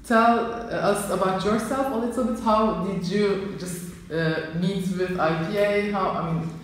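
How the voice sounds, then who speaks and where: A woman's voice: medium pitch, a little slow. A woman interviewing a guest in an office, speaking hesitantly with many ums and "I mean"s. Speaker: interviewer, association coordinator. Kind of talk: conversational interview. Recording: very echoey office with faint noise.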